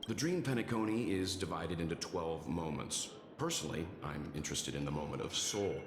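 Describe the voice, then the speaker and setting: A man acting a level-headed, scholarly mentor in a video game, speaking formally and politely in a measured, deliberate way. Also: deep voice, background noise, close to an everyday voice. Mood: calm, explanatory. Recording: roomy echo under loud music.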